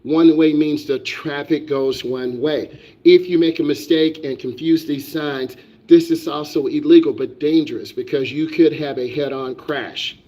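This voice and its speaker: A man's voice: fairly high pitch, fairly even intonation, measured pace, mellow. A man who is a driving instructor, lecturing on a live stream with emphatic, repetitive, preachy delivery. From United States.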